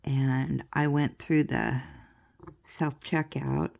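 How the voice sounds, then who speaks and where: Slow, gravelly older woman chatting. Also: very deep voice, steady tone, darkish tone. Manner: rambling, chatty, laughs often. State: stuffy nose. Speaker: crafting vlogger. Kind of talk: casual chatty vlog.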